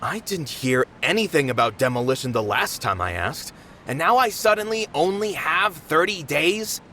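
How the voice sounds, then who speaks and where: Bright, animated theater manager. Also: male voice, on the high side, moderate pace, slight background noise. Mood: protesting. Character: earnest, protective male theater manager. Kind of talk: English game dub voice acting.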